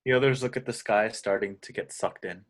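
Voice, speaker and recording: Man, low voice, scripted narration, reading stage directions. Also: a little fast.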